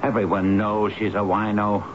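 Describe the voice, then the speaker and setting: A man playing a gruff, low-voiced boss. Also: level tone, moderate pace, highs cut off, close to an everyday voice. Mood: scornful. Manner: brusque, sarcastic, bossy. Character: gruff, impatient, scornful male building boss. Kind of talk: 1970s radio drama acting. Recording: band-limited, noisy old radio broadcast.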